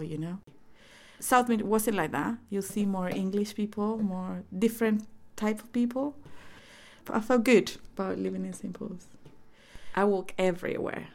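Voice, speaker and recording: A woman in her forties from Chile, speaking English with a Chilean accent as a Spanish native speaker, recalling her life in a hesitant, halting way. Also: medium pitch, vocal fry, darkish tone. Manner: hesitant, many fillers and restarts. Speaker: interviewee. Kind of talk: personal reminiscence.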